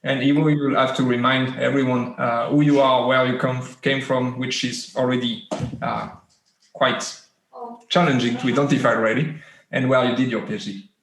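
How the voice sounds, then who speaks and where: A man's voice: medium pitch, level tone, moderate pace, fairly bright tone. A man, a lab head and PhD supervisor, adding light, joking remarks with hesitant fillers. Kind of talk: panel talk. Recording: echoey room.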